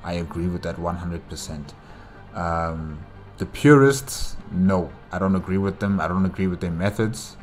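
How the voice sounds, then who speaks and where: A man giving casual solo reaction commentary as host, halting as he searches for words. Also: low-pitched, faint music behind.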